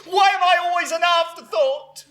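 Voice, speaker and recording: A man's voice: very high-pitched, fairly even intonation, moderate pace, sharp tone, roomy sound. A man voicing a self-pitying, indignant older mother in a melodramatic, wounded way during a stage monologue.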